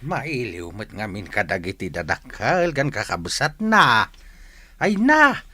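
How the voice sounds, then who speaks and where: A man's voice: on the low side, sing-song, slow pace, sharp tone, full character voice. A man playing a doting, forgetful old grandfather with an Ilocano accent in a comedy drama. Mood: wistful, playful. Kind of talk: Filipino comedy-drama dialogue.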